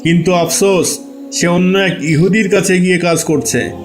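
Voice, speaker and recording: A man's voice: high-pitched, steady tone, moderate pace, over music, close to an everyday voice. A man playing a courteous, formal messenger from a king in a cartoon dub. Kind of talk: dubbed religious cartoon acting.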